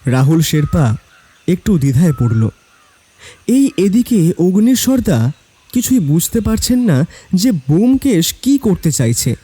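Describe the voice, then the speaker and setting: A man's voice: high voice, sing-song, unhurried, long pauses, dark tone, breathy edge. A man narrating a scripted story in a dramatic storytelling style. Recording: background music.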